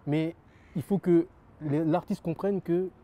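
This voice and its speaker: A man's voice: fairly high pitch, steady tone, measured pace, warm tone. A young French man giving earnest interview answers about his rap label, repeating phrases and using many fillers. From France.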